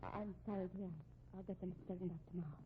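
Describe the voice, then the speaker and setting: An actress playing a shaken, anxious married woman who speaks haltingly in a 1950s radio drama. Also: fairly low pitch, steady tone, slight background noise, natural voice. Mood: nervous. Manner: halting, stammering delivery. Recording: tinny 1950s radio recording.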